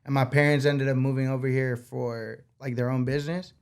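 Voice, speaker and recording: A man's voice: mid-range voice, a little fast, clear, resonant tone. A man from Baja, Mexico, raised in San Diego, telling stories as a rapper guest in a casual interview, full of slang and swearing. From born in Baja, Mexico, raised in San Diego. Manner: slangy, animated storytelling with frequent "like" and swearing.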